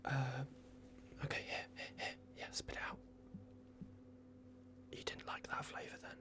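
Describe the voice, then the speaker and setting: A man voicing a playful, fussy vampire doctor in an ASMR roleplay, murmuring halting reassurances in a breathy whisper. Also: close to an everyday voice. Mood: gently attentive. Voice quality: breathy whisper with gravelly creak.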